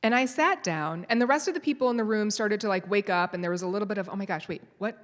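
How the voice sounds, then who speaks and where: A woman's voice: mid-range voice, fast. A woman giving a live conference talk, telling a story and quizzing the audience. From United States. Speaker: conference speaker. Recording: long hall reverb.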